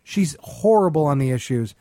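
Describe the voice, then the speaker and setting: A man's voice: a little high, animated, moderate pace, mellow, no echo, clean studio sound. A man co-hosting a podcast in casual comedy chat, ranting with crude, sarcastic scorn. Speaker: podcast co-host.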